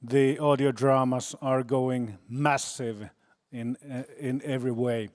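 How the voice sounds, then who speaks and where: A man's voice: medium pitch, fairly even intonation, slow, a little mellow. A man hosting a conference session, thanking and introducing speakers from the stage. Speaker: session host.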